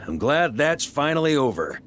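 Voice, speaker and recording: An actor playing a confident, easygoing veteran knight grandmaster in a video game, commanding and hearty. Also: male voice, on the high side, very animated, moderate pace, background noise. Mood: relaxed, friendly. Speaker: military commander.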